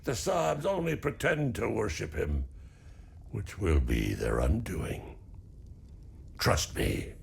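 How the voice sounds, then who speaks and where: Slow, gravelly old farmer, worn by grief. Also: male voice, lowish voice, big pitch swings, exaggerated voice. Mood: grim, convinced. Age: older. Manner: slow, weary, measured. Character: grieving old farmer, weary, stoic, embittered by war. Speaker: non-player character. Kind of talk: video game dialogue acting.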